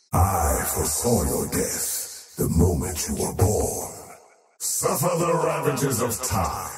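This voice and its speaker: A man voicing a faceless void creature in a fantasy video game, a cold, arrogant oathbreaker with a grandiose, menacing, deliberate delivery. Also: mid-range voice, reverberant, far from the mic, full character voice. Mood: gloating, menacing. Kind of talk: scripted fantasy video game character lines.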